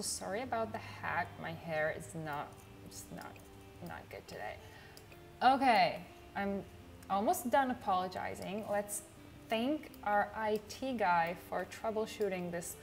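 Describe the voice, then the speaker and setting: A young woman from Israel with an Israeli accent, chatting casually on a livestream in a chill, low-energy way with lots of fillers. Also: lively intonation, unhurried, faint music behind. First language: not English. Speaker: streamer.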